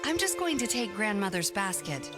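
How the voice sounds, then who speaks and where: An actress playing a plucky young fairy-tale heroine in a video game, expressive and spirited. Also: female voice, mid-range voice, moderate pace, lightly acted. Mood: playful. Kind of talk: scripted video game dialogue. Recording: loud music behind the voice.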